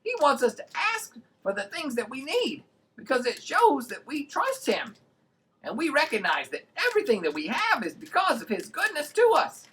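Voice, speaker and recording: A woman's voice: sits high, wildly swooping pitch, normal speed, fairly bright tone, slight background noise, exaggerated voice. A woman voicing a cheerful, earnest young puppet kid who explains things simply on a children's show. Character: excitable young puppet kid named Tommy, cheerful teacher.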